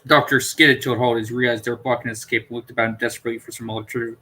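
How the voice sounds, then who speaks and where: Bright, forceful male novel reader. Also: medium pitch, little pitch movement, very fast, husky. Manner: stumbles over words. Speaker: amateur audiobook reader. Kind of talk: novel readalong narration.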